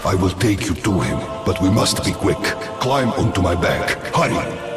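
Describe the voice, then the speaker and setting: Mystical beast guide for a fantasy game: very low, formal, commanding, under loud music. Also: male voice, expressive, normal speed. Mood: urgent. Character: strange male creature, solemn urgent guide and steed. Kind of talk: dramatic fantasy game acting.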